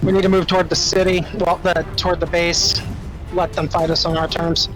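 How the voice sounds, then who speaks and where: A man's voice: fairly high pitch, little pitch movement, fairly quick, fairly bright tone, soft background music, poor audio. A man playing a mech squad captain who gives terse, commanding tactical orders in a tabletop game. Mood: urgent, firm.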